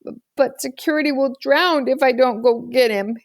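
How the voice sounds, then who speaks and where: A woman's voice: sits high, moderate pace, dry recording, voice pushed up. A woman voicing a small, worried boy in a storybook, speaking plaintively. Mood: worried, pleading. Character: small worried boy attached to toy octopus.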